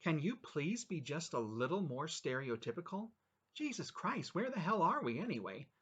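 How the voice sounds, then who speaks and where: A man voicing a furious young man in a small-town cafe, ranting sarcastically and shouting his indignation. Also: a little high, big pitch swings, moderate pace, slightly rough, lo-fi sound, pitched above the performer's own voice, heavily acted. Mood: indignant ranting.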